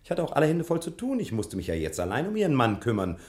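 An actor playing a boastful, slyly condescending private detective in a theatrical mid-century radio drama style. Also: male voice, mid-range voice, wildly swooping pitch, fast talker, darkish tone. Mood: teasing, smug. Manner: pompous, theatrical, chuckling, talks of himself in third person.

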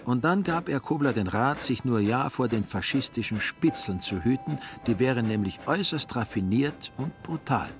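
A man's voice: medium pitch, animated, normal speed, hardly any pauses. A man reading scripted literary narration for a radio drama.

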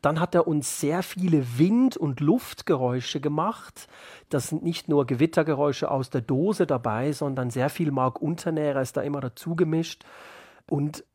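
A man's voice: fairly high pitch, moderate pace, darkish tone, slightly rough, no echo, studio quality. A man speaking as an author in an interview, explaining his work in a thoughtful, reflective way.

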